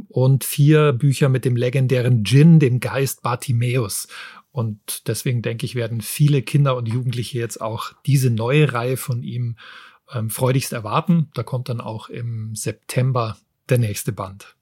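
Slightly husky man reviewing books. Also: medium pitch, steady tone, a little slow, a little mellow, dry recording, studio quality. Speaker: book podcast host. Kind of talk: book review talk, partly scripted.

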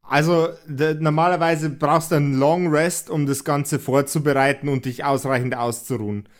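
Slightly high-voiced game master. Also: male voice, fairly even intonation, normal speed. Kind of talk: improvised tabletop game narration and chat.

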